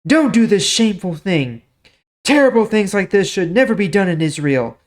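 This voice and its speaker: A man's voice: sits high, lively intonation, normal speed, voice pushed up. A man voicing a distressed young princess who pleads and protests emphatically in a dramatized scripture reading. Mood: pleading.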